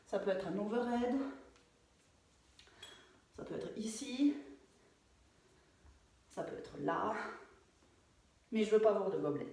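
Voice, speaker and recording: A French fitness coach talking through a home workout, with many hesitations and fillers. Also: female voice, medium pitch, lively intonation, slow, pauses often, warm tone, slight background noise. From France. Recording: echoey room, voice far from mic.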